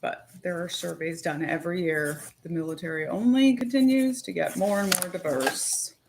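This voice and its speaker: A woman talking casually and animatedly as host, with quick asides, laughs and the odd swear word. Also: on the low side, vocal fry, noisy background. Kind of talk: casual chat to camera.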